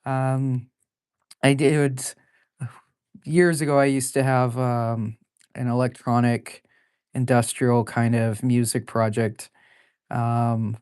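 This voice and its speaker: A woman in her forties with a low, deep voice, chatting casually while drawing live. She rambles, laughs often, and fills pauses with plenty of ums and uhs. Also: flat delivery, darkish tone, clean tone, no room sound, studio quality. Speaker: artist drawing live on stream.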